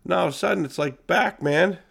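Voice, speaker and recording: A man's voice: high-pitched, fairly quick, vocal fry, slightly rough. A man hosting his own podcast, talking casually and bluntly with a congested, nasal voice and lots of swearing. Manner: blunt, profane, animated. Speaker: podcast host. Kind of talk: casual solo podcast monologue.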